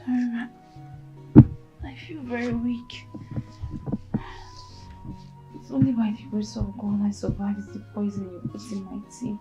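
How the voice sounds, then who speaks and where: An actress playing a frightened pregnant young maid who speaks timidly and pleads. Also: female voice, mid-range voice, little pitch movement, normal speed, music in the background, lightly acted, poor audio. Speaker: actress in a domestic skit.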